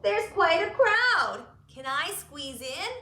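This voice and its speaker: A woman voicing a loud, bold duck in a picture book. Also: extremely high pitch, big pitch swings, slow, roomy sound, voice pushed up, heavily acted. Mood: boisterous. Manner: loud, quacking.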